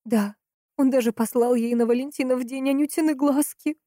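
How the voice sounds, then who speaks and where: Woman voicing a distraught, insistent grieving sister, mellow-toned. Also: on the high side, animated, dry recording, clean studio sound, voice pushed up, natural voice. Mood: indignant. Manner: emphatic, impatient, drawn-out stresses. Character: distraught, insistent, hot-tempered grieving sister in a crime story.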